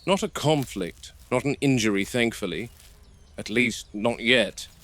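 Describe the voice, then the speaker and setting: A man playing a stern, cautious game warden, speaking in a measured, matter-of-fact way as in a scripted found-footage field log. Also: medium pitch, animated, a bit bright. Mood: measured, observant. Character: stern, cautious male game warden logging park animal observations.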